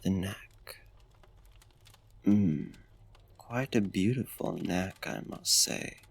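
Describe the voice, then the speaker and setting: Deep, slow, creaky courtly vampire, acted by a man. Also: no echo, exaggerated voice. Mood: enthralled, seductive. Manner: formal, old-fashioned, genteel diction. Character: courtly, smitten male vampire tending a frostbitten guest. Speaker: ASMR voice actor. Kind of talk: ASMR roleplay, close and soft-spoken.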